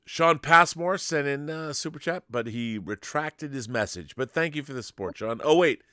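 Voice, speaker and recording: A man's voice: a little high, expressive, moderate pace, bright, forceful tone, clear, resonant tone, dry recording, studio quality. A man hosting a casual live stream, chatting in an enthusiastic, rambling way and reading out viewer messages.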